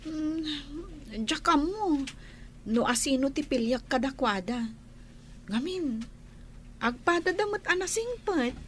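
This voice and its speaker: Troubled young maiden, Ilocano accent, halting slow delivery, high pitch, for radio drama. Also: female voice, expressive, slight background noise. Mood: hesitant, troubled. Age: young adult. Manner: hesitant, halting. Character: troubled young unmarried woman, gentle and hesitant.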